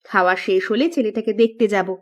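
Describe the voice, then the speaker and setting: A woman's voice: medium pitch, steady tone, a little fast, natural voice. A woman acts a village housewife and mother in a kids' cartoon. Her voice sits well below a child's, firm and motherly, with a scolding edge. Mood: scolding, worried.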